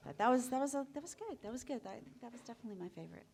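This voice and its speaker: A woman's voice: fairly high pitch, animated, rapid-fire, vocal fry, crowd noise. A woman chatting casually as a panelist in a convention room, into a microphone. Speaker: panelist, voice actor. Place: convention meeting room with an audience.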